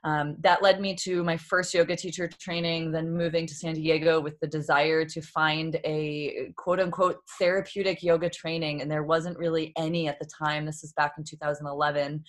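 A woman in her thirties from Boston, a yoga therapist telling her story as a podcast guest in a reflective, open way, laughing often. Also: low voice. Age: 30s. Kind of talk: casual interview talk.

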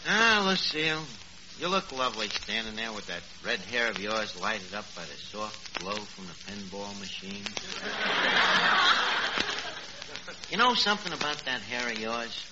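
An actor playing a brash, bumbling New York tavern manager in a 1940s radio comedy, full of boasts and mangled fancy words. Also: male voice, lowish voice, expressive, normal speed, lightly acted. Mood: flirtatious. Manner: wisecracking, bumbling malapropisms. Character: brash, boastful Brooklyn tavern manager wooing an old flame. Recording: noisy, muffled old radio broadcast.

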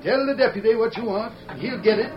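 A man's voice: very high voice, a little fast, faint music behind. A male actor playing a genial, needling Old West sheriff in an American radio western, folksy and dryly teasing. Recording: muffled, hissy vintage radio recording.

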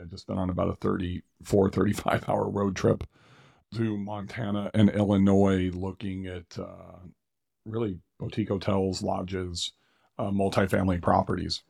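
Casual man with a slightly raspy voice. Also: lowish voice, darkish tone, no room sound, studio quality. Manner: rambling, conversational, self-correcting. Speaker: podcast host. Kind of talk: casual solo talk on real estate finance.

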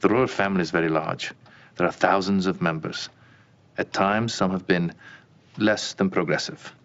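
An actor playing a formal male royal court official in a scripted TV drama scene, speaking in a measured, formal way. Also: sits low, steady tone, fairly bright tone. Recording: dull lo-fi clip audio.

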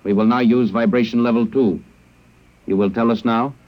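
An actor playing a coldly logical alien interrogator in a radio drama, speaking in stilted, formal, emotionless phrases. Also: male voice, mid-range voice, steady tone, normal speed, close to an everyday voice. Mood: clinical, insistent. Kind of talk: 1950s radio drama acting. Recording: muffled vintage radio recording.

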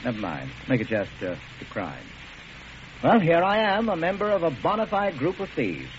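An actor playing a genteel, professorial doctor turned criminal, polite and wordy, in a 1940s radio drama. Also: male voice, on the high side, huge pitch swings, moderate pace. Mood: suave, pleased. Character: genteel, erudite male doctor posing as cool criminal. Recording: dull, noisy old radio recording.

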